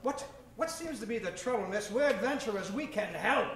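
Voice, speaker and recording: A man's voice: high voice, expressive, a little fast, a bit bright. A man playing a grandiose, gallant professor-adventurer in an old-time radio comedy, earnest and self-important. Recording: roomy, distant mic with music.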